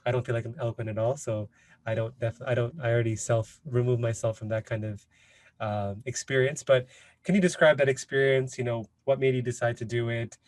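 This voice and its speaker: A man's voice: mid-range voice, fairly even intonation, a little fast. A man hosting a podcast interview, talking casually and hesitantly with many fillers. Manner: hesitant, many fillers like "you know" and "kind of". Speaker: podcast host.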